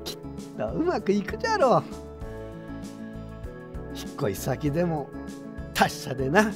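A woman playing a gruff but kindly old craftsman with curt old-man speech in an audio drama. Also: lowish voice, huge pitch swings, measured pace. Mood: fatherly. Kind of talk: Japanese audio drama acting. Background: background music.

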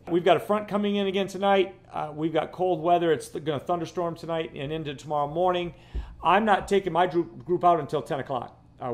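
A man in his fifties, a fishing guide hosting his vlog from his living room, talking casually and rambling, often repeating words. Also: on the high side. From Florida. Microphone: camera close up, seated.

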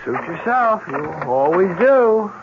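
A man's voice: sits high, big pitch swings, takes it slowly, heavily acted. An actor playing an exasperated, grudging, practical man, sardonic and dismissive, in a 1970s radio drama. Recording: dull, noisy 1970s radio recording.

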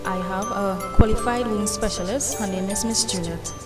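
A woman's voice: mid-range voice, moderate pace. A woman, interviewing politely and formally for an ad. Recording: loud chiming music bed.

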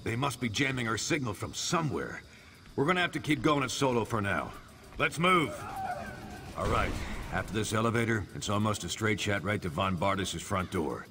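An actor voicing a gruff military commander in a video game, blunt, sardonic and bossy. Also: male voice, lowish voice, animated, moderate pace, background noise. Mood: brisk command. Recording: music behind.

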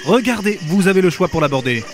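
A solemn colonel played by a man gives a formal radio-play briefing. His voice sits slightly high over clear music. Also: lively intonation, quick pace. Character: formal male colonel, solemn mission commander.

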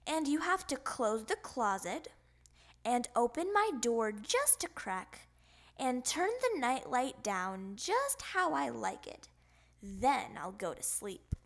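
A woman voicing an excitable, insistent young boy frog who stalls at bedtime in a storybook. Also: on the high side, slow pace, heavily acted. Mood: demanding. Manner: exclaiming, insistent.

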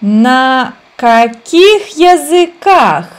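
A woman in her thirties teaching a language, reading short phrases aloud and repeating them slowly, sometimes syllable by syllable, recorded indoors on a webcam. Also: high-pitched, sing-song. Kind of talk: slow reading drill for learners.

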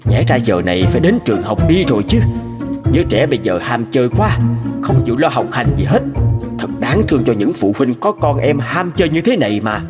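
A man voicing a middle-aged rural father in an animated cartoon, narrating and talking. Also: medium pitch, fairly quick, close to an everyday voice. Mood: dismayed. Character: middle-aged rural father, worried then hooked on gaming. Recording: music under the voice.

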